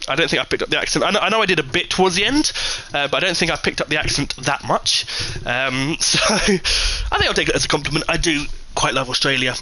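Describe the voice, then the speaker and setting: A man from the UK commentates a live esports race with a very bright, cutting voice sitting a little higher than most men's. He calls the action with energy, leaping up when the lead changes. Between calls he chats loosely with viewers, full of ums and asides. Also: slight background noise, rough recording. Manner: energetic, jumps high in excitement at big moments; chatty, many fillers, reads and answers live chat. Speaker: sim racing commentator.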